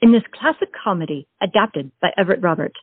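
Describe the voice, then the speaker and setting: A woman's voice: fairly low pitch, lively intonation, fast talker, husky, dry recording. A woman narrating a comic stage play in a theatrical, scripted storytelling style. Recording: tinny phone-like sound, music behind. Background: music.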